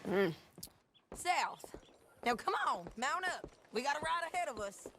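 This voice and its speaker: A woman's voice: high voice, sing-song, unhurried, pushed and bright, a little hiss or hum, heavily acted. A woman playing a hardened frontier bounty hunter in a video game, with a dry, clipped, matter-of-fact way of talking. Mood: gruff. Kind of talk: video game cutscene acting. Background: game music and horse hooves.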